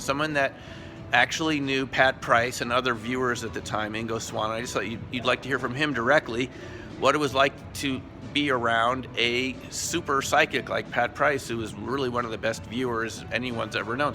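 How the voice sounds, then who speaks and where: A man's voice: mid-range voice, brisk, noisy background. A man hosting a casual on-location interview for his video channel. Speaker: YouTube host and interviewer. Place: on location at a conference. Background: music.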